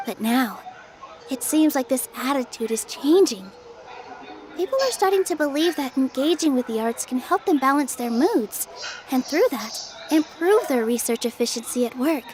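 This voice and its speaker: A woman voicing a kind, graceful young theater dancer in a video game, speaking gently and courteously. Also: sits high, expressive, moderate pace. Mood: thoughtful. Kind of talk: scripted video game dialogue.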